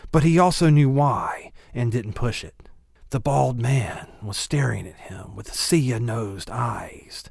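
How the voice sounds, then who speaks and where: A man's voice: medium pitch, vocal fry, husky, no echo, studio quality. A man narrating a novel as a scripted audiobook reading.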